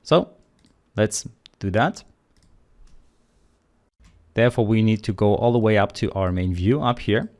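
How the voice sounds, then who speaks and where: A man teaching programming in a screencast tutorial, calmly explaining the code step by step in a relaxed, conversational way. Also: fairly low pitch, unhurried, long pauses. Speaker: programming instructor.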